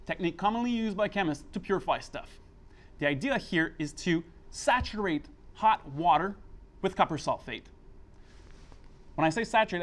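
A middle-aged man hosting a casual, explanatory science demonstration in an indoor lab, speaking informally as he works. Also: sits high. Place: indoor school chemistry lab.

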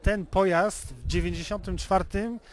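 A man's voice: sits high, unhurried. A Polish man being interviewed informally as a car owner, explaining in a wordy way and often rephrasing himself. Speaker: interviewee, classic car owner and hobby restorer.

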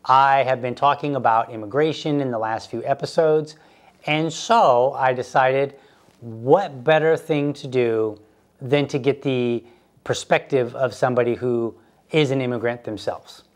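A man's voice: medium pitch, a little slow. A middle-aged man hosts a podcast interview. He speaks conversationally into a lapel mic in an indoor room, putting questions to his guest. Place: indoor room with a fireplace, seated.

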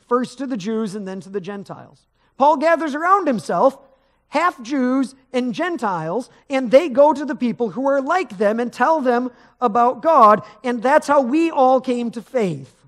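A man's voice: very high-pitched, animated, a little slow, a bit bright. A middle-aged man, a pastor, preaching a sermon on stage in a large church sanctuary, in a conversational manner that rises with emphasis. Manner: conversational preaching, rising with emphasis, gesturing while pacing the stage.

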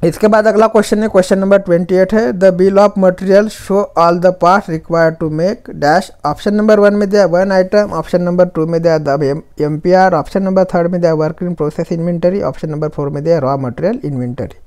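A man's voice: high-pitched, steady tone, darkish tone, clean tone. A young man with an Indian accent, teaching exam prep by reading quiz questions and their options aloud in a voice-over. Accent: Indian English mixed with Hindi. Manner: slow deliberate reading of questions, faster when giving options.

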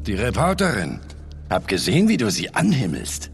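An actor playing a gruff older male treasure hunter, wry and grumbling, with a gravelly voice, in a dubbed action video game. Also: fairly low pitch, wildly swooping pitch, normal speed, a little hiss or hum, heavily acted. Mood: wry, teasing. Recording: music behind the voice.

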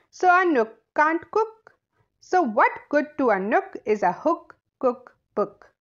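A woman narrating a children's storybook aloud in a deliberate, sing-song way. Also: high voice, a bit bright. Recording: slightly dull, lo-fi audio.

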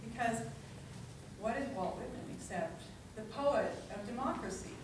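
A middle-aged woman speaking and reading expressively at a public event, with deliberate phrasing, picked up from a distance in a meeting room. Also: lively intonation. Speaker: librarian and professor reading at an event. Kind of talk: informal public talk and poetry reading. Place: library meeting room with seated audience. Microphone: distant camera. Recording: roomy, distant microphone, clear rumbling room noise.

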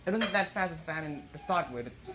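A man vlogging indoors about a ceiling fan, holding a phone camera, talking in a halting way with frequent restarts. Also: a little high, animated, noisy background. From Philippines. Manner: halting, repeats words and restarts often. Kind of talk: casual filmed commentary. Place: indoors in a house kitchen. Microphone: handheld phone camera.

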